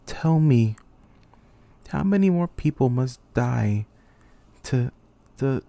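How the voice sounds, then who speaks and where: Brooding young commander, dark gravelly male voice. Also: mid-range voice. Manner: brooding, intense, swearing. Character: weary, bitter young male student commander trapped in a killing game. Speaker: aspiring voice actor. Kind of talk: amateur dramatic monologue, character acting.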